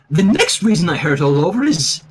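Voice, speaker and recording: A man's voice: a little high, gravelly, heavy background noise. A man reading fan fiction aloud as host, mixing storytelling with casual commentary.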